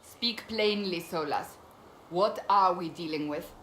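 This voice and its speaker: A probing female advisor in a game drama. Her voice is on the low side, serious and direct. It is a roomy recording with faint noise. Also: a little slow, fairly bright tone. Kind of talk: dramatic video game acting.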